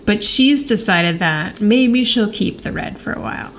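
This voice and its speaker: A woman's voice: mid-range voice, animated, measured pace, slight background noise. A woman co-hosting a podcast, chatting casually as she recaps a television show. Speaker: podcast co-host. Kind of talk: casual TV rewatch chat.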